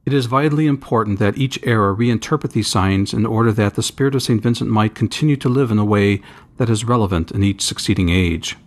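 A man with a fairly low voice narrates a spiritual essay he has written. His delivery is formal and deliberate, with measured phrasing suited to a priest and scholar reading from a prepared text.

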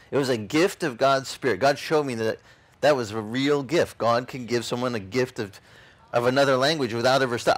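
A middle-aged man, a pastor telling a story in an outdoor beach sermon and acting out the voices of the people in it. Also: medium pitch. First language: Italian. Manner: animated, acts out other people's lines.

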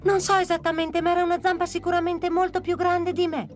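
A woman voicing a small young female monkey in a cartoon, talking in a bright, childlike way. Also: very high-pitched, level tone, fast, soft background music. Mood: chatty.

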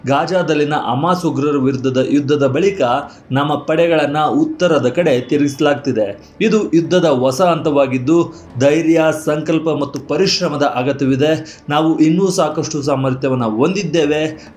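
A man reading a scripted news voice-over with brisk, emphatic headline delivery. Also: on the high side, darkish tone. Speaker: news narrator. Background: faint music bed.

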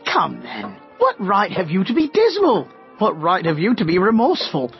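High-voiced, cheerful young nephew. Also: male voice, wildly swooping pitch, normal speed, heavily acted. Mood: cheerful, teasing. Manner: bright-hearted, good-natured, persuasive. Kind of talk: radio play acting. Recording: dull lo-fi audio with music behind.

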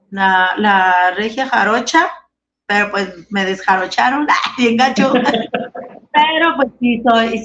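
A woman's voice: a little high, a bit bright, a little hiss or hum. A woman in her thirties from Monterrey, Mexico, with a northern Mexican accent, a giggly and hesitant guest on a video call. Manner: giggly, hesitant, many fillers. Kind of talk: casual video-call interview. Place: small room indoors. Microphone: webcam on a video call. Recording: dull lo-fi webcam sound.

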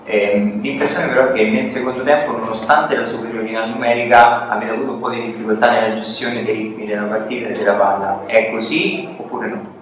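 A man's voice: on the low side, fairly even intonation, a little fast. A man, a journalist, asking hesitant questions full of fillers at a press conference. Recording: echoey room, far from the mic, noisy.

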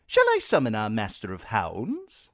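A man voicing a cheerful young lord who speaks in a bright, friendly way. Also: lowish voice, huge pitch swings, a little slow, no room sound, clean studio sound, voice pushed up, full character voice.

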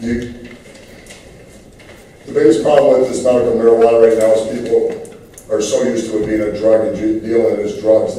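A man's voice: fairly low pitch, warm tone, slight background noise. A man who is losing his voice, speaking earnestly with many fillers during public comment. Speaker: public commenter at city meeting. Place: large council chamber, standing at podium. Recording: roomy, distant council-chamber recording.

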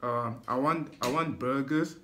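A man's voice: medium pitch, unhurried, sharp tone. A young man, talking casually and hesitantly. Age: young adult. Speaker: prank caller. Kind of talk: casual, halting phone ordering. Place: small living room, indoors. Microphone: camera a short distance away.

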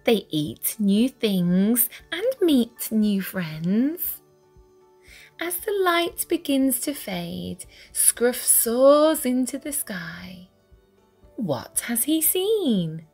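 A woman reading a children's picture book aloud with deliberate, dramatic phrasing for young listeners. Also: a little high, big pitch swings, a little mellow, breathy edge. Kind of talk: expressive read-aloud storytelling. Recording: music behind the voice. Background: music.

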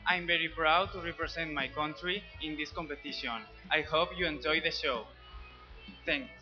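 A man's voice: on the high side, moderate pace. A sixteen-year-old male competitor from Spain giving a short formal introduction on stage. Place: large indoor sports hall stage. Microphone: handheld microphone. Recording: muffled PA under loud music.

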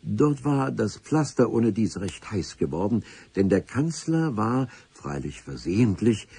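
A very husky, lowish male voice as a pedantic official narrating a radio play. He is solemn and formal, with wordy sentences and dramatic pauses, recorded dry. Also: mellow, no echo, full character voice. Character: pedantic, solemn senior civil servant recounting adventures.

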